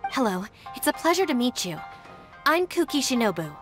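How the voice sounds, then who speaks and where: A woman playing a composed, modest young professional in a video game, polite, measured and formal. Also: sits high, very animated. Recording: music behind the voice.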